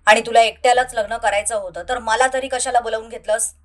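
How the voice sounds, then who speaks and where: A woman's voice: a little high, steady tone, fast talker, natural voice. A woman voicing a baffled young man, the groom's exasperated friend, grumbling familiarly in an audio story.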